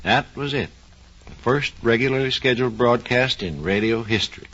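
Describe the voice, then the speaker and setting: A man giving scripted educational narration on 1940s radio in a formal, measured storytelling style. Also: mid-range voice, a bit bright, husky. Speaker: research engineer, guest narrator. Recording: muffled vintage 1940s radio recording.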